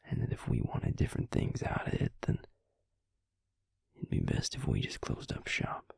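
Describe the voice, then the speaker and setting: A man playing a tender, regretful male vampire in an intimate audio roleplay, confiding haltingly in a soft, breathy, gravelly whisper. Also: no echo, clean studio sound, lightly acted. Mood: rueful, candid.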